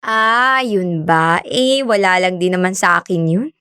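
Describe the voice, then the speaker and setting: A woman's voice: lowish voice, a little slow, pushed and bright, smooth, no echo, clean studio sound. An actress with a Filipino accent playing a friendly, modest young woman who teases lightly in a conversational radio drama. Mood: teasing, reassuring. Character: friendly young woman, government fisheries speaker, modest and teasing.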